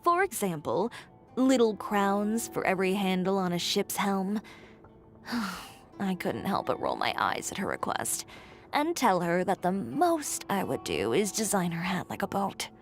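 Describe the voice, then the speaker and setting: An actress voicing a blunt, self-assured young fashion designer in a video game, speaking in a cool, matter-of-fact, dryly exasperated way. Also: female voice, mid-range voice, very animated, a little slow. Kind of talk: scripted character voice lines. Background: background music.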